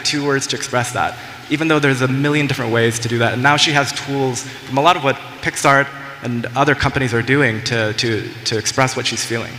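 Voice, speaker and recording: A man on a conference panel, talking quickly with frequent restarts. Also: medium pitch, pushed and bright. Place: large conference hall. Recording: echoey hall, faint noise.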